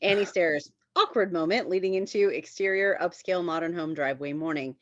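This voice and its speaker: A woman's voice: lowish voice, a little fast, dry recording, lo-fi sound. A woman reading screenplay stage directions as the narrator of a table read.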